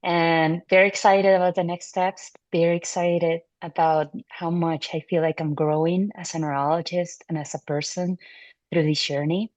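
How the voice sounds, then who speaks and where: A woman in her thirties from Venezuela, a neurology resident, answering interview questions in a relaxed, thoughtful way with fillers and restarts. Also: on the low side, normal speed, no room sound. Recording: muffled dry audio.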